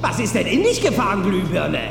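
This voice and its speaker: Schrilles, knarrendes, pöbelndes Gespenst. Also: male voice, sits high, wildly swooping pitch, brisk, recorded from a distance, full character voice. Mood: sneering. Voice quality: creaky. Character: rowdy, sneering male ghost bully, shrill and nasty. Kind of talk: German audio drama acting. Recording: long echoey reverb, loud music behind.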